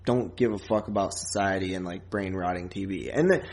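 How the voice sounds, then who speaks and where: A man's voice: deep voice, fairly quick, a little mellow. A man co-hosting a podcast, casually reviewing a film in a rambling, loose way with plenty of filler and some swearing. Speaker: podcast co-host.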